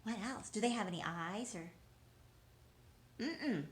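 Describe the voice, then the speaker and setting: A woman's voice: medium pitch, lively intonation, unhurried, slight background noise. A woman teaching young children in an encouraging way, asking questions, answering them as if replying to the children and repeating key words. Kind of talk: interactive lesson for young children.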